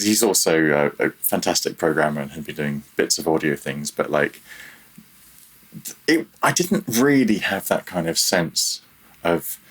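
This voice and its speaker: A man talking reflectively and casually as an interview guest, halting and self-deprecating as he goes. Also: a bass voice, a bit bright, slightly rough. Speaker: podcast guest, freelance audio programmer.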